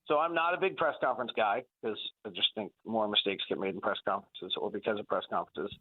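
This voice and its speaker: A man's voice: on the low side, fast, no room sound. A man giving conversational basketball analysis as a guest on sports radio, talking analytically and repeating words for emphasis. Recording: thin phone-like band-limited line.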